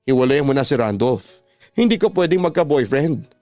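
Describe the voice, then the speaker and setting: A man's voice: mid-range voice, expressive, fairly quick, dark tone, dry recording, soft background music, close to an everyday voice. A Filipino man voicing a possessive, manipulative ex-boyfriend in a radio drama, insistent in his delivery. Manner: pleading turning insistent and threatening.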